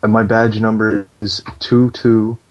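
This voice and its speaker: A man playing a calm, bossy fire alarm operator on a prank phone call, deadpan, procedural and insistent. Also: lowish voice, measured pace, lightly acted. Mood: deadpan, official. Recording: dull lo-fi phone call recording.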